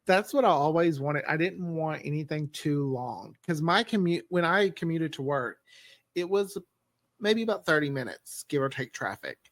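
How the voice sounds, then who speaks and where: A man's voice: on the high side, expressive, moderate pace, no room sound. A man from the United States chatting casually as a podcast co-host. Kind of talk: casual chat.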